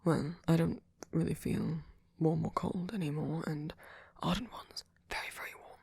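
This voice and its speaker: A performer voicing a sweet, doting male vampire boyfriend in a breathy, hesitant ASMR whisper. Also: mid-range voice, steady tone, no room sound, clean studio sound. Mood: tender, reassuring. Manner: hesitant, halting, rambling, with soft filler murmurs. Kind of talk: ASMR roleplay, soft whispered comforting.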